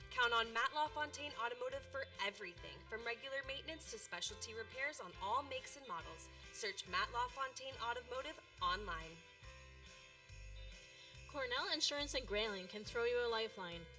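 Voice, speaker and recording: A woman announcer delivers a scripted radio commercial. Her voice is somewhat high and forceful, slightly back from the mic with light music underneath. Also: far from the mic. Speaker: advertisement announcer.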